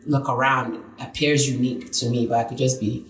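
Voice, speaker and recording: A woman, a Yoruba speaker, telling animated stories as a guest in a casual podcast interview. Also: a bass voice, fairly quick, reverberant, poor audio. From Nigeria. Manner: animated storyteller, acts out voices in her tales.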